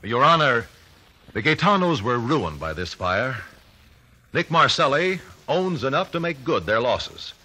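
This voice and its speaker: A man's voice: medium pitch, big pitch swings, a little slow, sharp tone, full character voice, low-quality recording. An American man playing a righteous, formal attorney in a 1950s radio courtroom drama. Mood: measured, confident. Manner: formal, declamatory. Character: forceful, righteous male attorney confronting a culprit in court.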